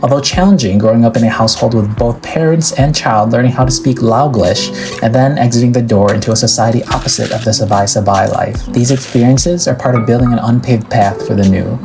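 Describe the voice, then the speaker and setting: Brief: man, measured poetic voice-over, music clearly behind. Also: medium pitch. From Wisconsin, USA. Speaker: cook. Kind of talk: scripted reflective narration.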